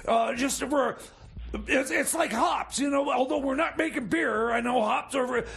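High, creaky, flustered hillbilly schemer. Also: male voice, normal speed, fairly bright tone, exaggerated voice. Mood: eager, pleading. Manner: stammering, rambling, flustered. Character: excitable bumbling hillbilly time machine mechanic and moonshine schemer. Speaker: radio show sidekick. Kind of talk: comedy radio theater.

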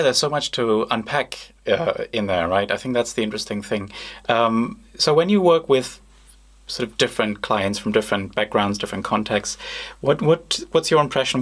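A man's voice: lowish voice, normal speed. A man hosting a live podcast interview, asking long, rambling questions full of fillers. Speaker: podcast host.

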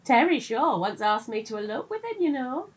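A British actress playing a chatty middle-aged detective's wife in an audio drama, in natural domestic dialogue. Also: female voice, sits high, sing-song, normal speed, breathy edge, full character voice. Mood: teasing.

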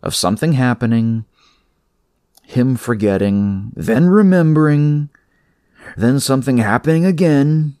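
A man's voice: medium pitch, animated, measured pace, long pauses, darkish tone, no echo, clean studio sound. A man narrating scripted horror fiction as a storyteller.

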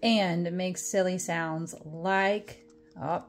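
A woman's voice: lowish voice, steady tone, soft background music. A woman reading a children's picture book aloud in a playful, theatrical way, with exaggerated expression. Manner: playful, exaggerated mock dismay, dramatic drawn-out words and whispers. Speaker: storytime reader.

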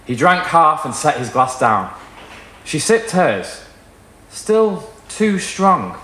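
A young man giving an expressive, theatrical live reading of literary fiction. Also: fairly high pitch, takes it slowly. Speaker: story reader. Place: small indoor performance room with wooden wall. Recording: roomy live venue sound.

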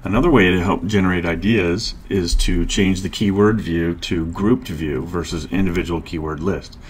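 A middle-aged man narrating a screen-share tutorial, calmly explaining each step and click as a marketing instructor. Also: low voice, normal speed, a little hiss or hum. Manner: calm, explanatory, walks through clicks step by step.

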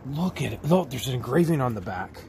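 A man's voice: medium pitch, expressive, brisk, creaky, darkish tone, a little hiss or hum. A man hosting a casual unboxing vlog, exclaiming and repeating himself as he talks directly to viewers. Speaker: vlog host.

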